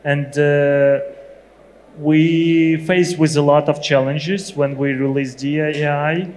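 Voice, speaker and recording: A man from Ukraine interviewing a guest on stage, with halting, hesitant phrasing. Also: a little high, level tone, a little mellow. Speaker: interviewer, government ministry representative. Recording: reverberant hall with background noise.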